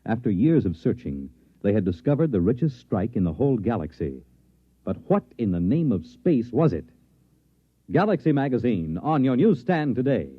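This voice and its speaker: A man's voice: mid-range voice, sing-song, normal speed, slightly rough, no room sound. A man working as a radio announcer, reading a script in a polished, declamatory 1950s broadcast style. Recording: muffled vintage radio recording with music.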